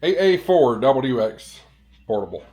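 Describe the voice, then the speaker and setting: A man's voice: medium pitch, big pitch swings, a little slow, darkish tone, slightly rough. A man chatting casually to a camera in a hotel room, easygoing and hesitant, often repeating himself. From American South. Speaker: vlogger and ham radio YouTuber. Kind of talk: casual vlog chat.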